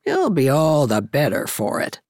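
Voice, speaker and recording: A blunt old village busybody, acted out by a woman narrator in a storybook, pitched way down and slow. She is forthright and emphatic, and her tune swings widely. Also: wildly swooping pitch, a little mellow, dry recording, studio quality, exaggerated voice. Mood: opinionated. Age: older. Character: blunt, opinionated older woman, village gossip and matchmaker.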